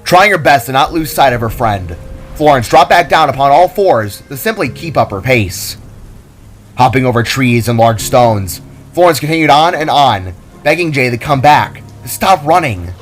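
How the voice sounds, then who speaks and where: A man's voice: medium pitch, expressive, normal speed. A man giving a dramatic scripted narration of a fantasy story, as its narrator. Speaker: author and narrator. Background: soft music.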